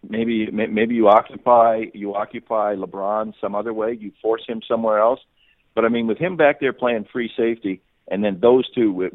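Male sports reporter as guest, lower register, dry close sound, thinks aloud in restarts. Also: no room sound, narrow, tinny audio. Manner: halting, repeats and rephrases phrases as he reasons aloud. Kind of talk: unscripted sports analysis in an interview.

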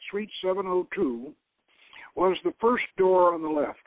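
A man's voice: sits high, huge pitch swings, a little slow, vocal fry. Man reading fiction over a tinny, narrow-band line. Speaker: show host reading his own novel. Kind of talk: dramatic reading of an adventure novel. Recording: tinny, narrow-band radio-style sound.

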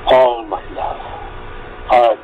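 An actor playing a young soldier who reads his tender love letters home slowly and earnestly, in an amateur radio drama. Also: mid-range voice, fairly even intonation, muffled recording, slight background noise. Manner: slow, earnest letter reading.

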